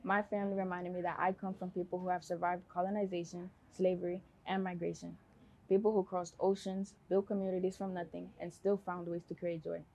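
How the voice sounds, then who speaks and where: A woman from the United States reading a prepared personal speech in a measured, earnest way, in short phrases. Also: fairly low pitch, flat delivery, creaky, warm tone, poor audio.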